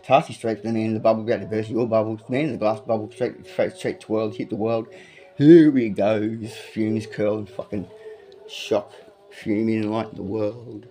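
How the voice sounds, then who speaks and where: Profane amateur rapper, dark low voice. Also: male voice, fairly even intonation, normal speed. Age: adult, has a son. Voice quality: says he has a big voice. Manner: profane, aggressive, rambling freestyle bars with repeated lines. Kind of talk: freestyle rap.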